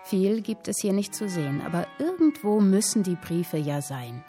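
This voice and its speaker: Radio play narrator, an actress: woman, mid-pitched, deliberate and vivid literary storytelling, music underneath. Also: sing-song, warm tone, close to an everyday voice. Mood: suspenseful. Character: female storyteller reading a vivid historical tale.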